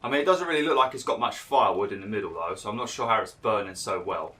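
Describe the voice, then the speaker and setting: A young man doing chatty, rambling gameplay commentary, a little hoarse and nasal from being ill. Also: lowish voice, quick pace, sharp tone. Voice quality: slightly nasal, froggy throat. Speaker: gaming YouTuber.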